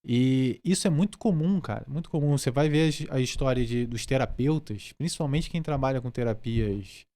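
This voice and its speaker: Brazilian man with a mid-pitched voice. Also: dark tone. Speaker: podcast guest, therapist. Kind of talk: casual conversational interview.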